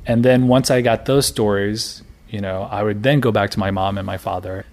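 A man's voice: on the low side, normal speed. A man in his thirties from the United States, an author talking casually in an interview with fillers and restarts. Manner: relaxed, chatty, many fillers and restarts. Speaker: interviewee, graphic novelist.

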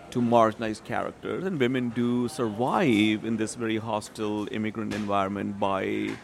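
Gravelly low-voiced man. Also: a little hiss or hum. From Pakistan. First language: Urdu or Punjabi. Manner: discursive, many fillers and restarts. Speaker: writer and translator, guest. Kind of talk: thoughtful interview answers.

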